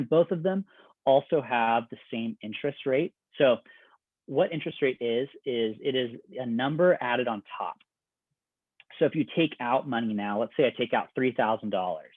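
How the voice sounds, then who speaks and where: A man's voice: medium pitch, dry recording. Man explaining things over a tinny line. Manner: conversational, explains step by step with everyday examples. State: voice getting raspy. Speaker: admissions counselor. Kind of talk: informational presentation. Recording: dry, phone-like video call audio.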